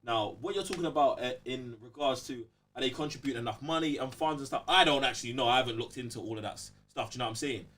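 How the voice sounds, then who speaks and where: A man's voice: mid-range voice, fast, few pauses, a bit bright, far from the mic, slight background noise. A young man with a London accent talking emphatically and in slang on a casual podcast, often checking that he's being followed. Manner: emphatic, slangy, repeats check-in tag phrases. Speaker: podcast host.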